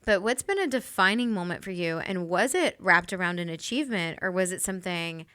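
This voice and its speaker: Bright-voiced chatty woman host. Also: on the low side, expressive, brisk. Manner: chatty, friendly, laughs often. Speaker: podcast host. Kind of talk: casual interview chat.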